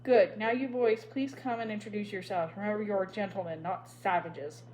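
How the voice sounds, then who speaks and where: A woman doing a crisp, stern young schoolmistress. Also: mid-range voice, steady tone, normal speed, darkish tone, a little hiss or hum, lightly acted, poor audio. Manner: crisp, firm, commanding. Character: strict young schoolteacher woman, stiff and proper.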